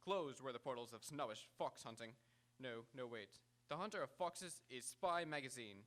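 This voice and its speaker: A man's voice: medium pitch, expressive, moderate pace, fairly bright tone, close to an everyday voice. A man playing a commanding, pompous magazine publisher with oratorical delivery in a live radio comedy. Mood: grandiose. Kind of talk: live radio drama acting. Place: auditorium stage, live radio theatre.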